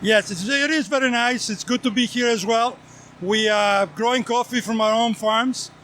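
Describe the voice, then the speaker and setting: Greek-accented man, very high voice, earnest vendor pitch, faint traffic outdoors. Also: normal speed, bright, forceful tone. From Greece. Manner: earnest sales pitch, lists products. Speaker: coffee vendor. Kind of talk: outdoor event interview. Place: outdoor race event.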